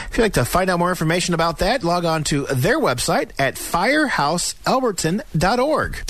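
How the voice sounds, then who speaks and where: Animated American radio announcer man, high-pitched. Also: dry recording. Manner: polished, punchy announcer delivery. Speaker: radio announcer and commercial voice-over artist. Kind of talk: upbeat scripted radio announcing and advertising reads. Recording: music bed behind the voice. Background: music.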